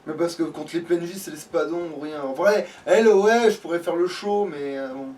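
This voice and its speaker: A man's voice: a little high, normal speed, a little mellow. A man chatting casually while playing a video game, rambling and informal, with frequent swearing. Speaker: let's play gamer, playing. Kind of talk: casual gaming chat. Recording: distant mic, faint background noise.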